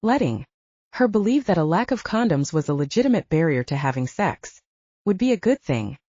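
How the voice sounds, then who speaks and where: A woman narrates fiction in a low-set, husky voice. Her delivery is a scripted audiobook read with plenty of melodic movement. The sound is close and dry. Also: big pitch swings, fairly quick, dark tone, dry recording, dull audio.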